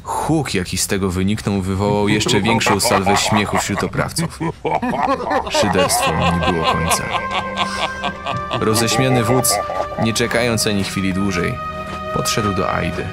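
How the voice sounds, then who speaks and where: A man's voice: fairly low pitch, lively intonation, measured pace, long pauses. A man narrating a scripted fantasy audio drama in a dramatic storytelling style. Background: loud background music.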